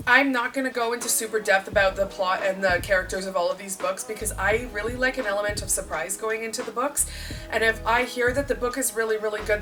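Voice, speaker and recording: A woman book vlogger chatting casually to camera, a little croaky after a cold, self-deprecating and rambling. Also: mid-range voice, bright, forceful tone. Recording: distant voice with clear music behind.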